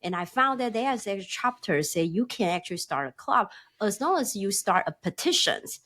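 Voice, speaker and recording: Female podcast guest, middle-aged, Taiwanese accent, mid-pitched voice, conversational storyteller who voices quoted dialogue. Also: very animated, moderate pace, bright, forceful tone. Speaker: podcast guest, company founder. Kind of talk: conversational personal storytelling in an interview.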